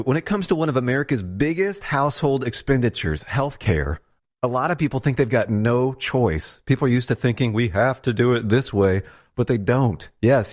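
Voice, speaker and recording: A man's voice: medium pitch, expressive, normal speed, a little mellow. A man reading a scripted radio commercial in a conversational, persuasive style. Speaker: commercial announcer.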